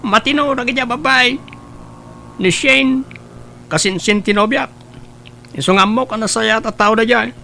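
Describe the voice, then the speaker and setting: A very high, sharp male voice with gravelly creak , an actor's. He plays the chummy buddy who talks friends into things, in audio drama style, over faint noise. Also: a little slow, pauses often, exaggerated voice. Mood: chummy, advising. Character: chummy, persuasive young male matchmaking buddy. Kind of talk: Ilocano radio-style audio drama acting.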